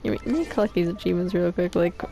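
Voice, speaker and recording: A woman giving casual gameplay commentary in a slangy, playful, excitable manner. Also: on the low side, creaky. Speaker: gamer playing and reacting.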